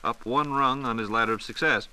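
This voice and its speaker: A fast-talking American man with a bright, crisp-edged voice at a middle pitch. He plays the folksy questioning co-host in scripted 1940s radio dialogue, prompting his partner in an old broadcast recording. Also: low-quality recording.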